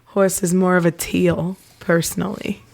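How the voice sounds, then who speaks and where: A woman co-hosting a podcast who is losing her voice, so it comes out gravelly with heavy fry. Her pitch sits a little low, and she teases playfully in casual chat. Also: flat delivery, normal speed, darkish tone. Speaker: podcast co-host.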